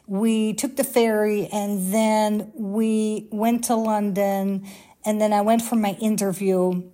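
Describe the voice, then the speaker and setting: A middle-aged woman with a Dutch accent tells her story casually, drifting into asides and correcting herself as she goes. Her voice sits at a mid pitch with a mellow, darker color. She sounds like someone chatting to her listeners. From Netherlands. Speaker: podcast host, former flight attendant.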